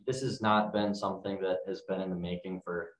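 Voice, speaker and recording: A man's voice: low-pitched, level tone, a little fast. A young man answering questions as a college team captain at a postgame press conference. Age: young adult. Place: press conference room, indoors at a table. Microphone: distant camera mic, face mask on. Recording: echoey room, distant microphone.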